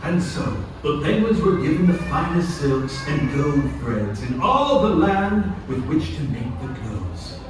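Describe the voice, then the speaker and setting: A man's voice: on the high side, sing-song, a little slow, few pauses, over music. A man delivering scripted storybook narration for a puppet show. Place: indoor attraction puppet show, heard from audience distance. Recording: echoey, distant venue with background noise.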